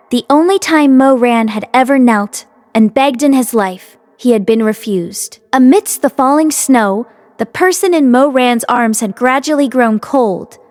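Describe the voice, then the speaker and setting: A woman narrates a fantasy novel in an expressive storytelling style. Her voice sits fairly high. The sound is dry, with no room around it. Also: dry recording. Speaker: audiobook reader.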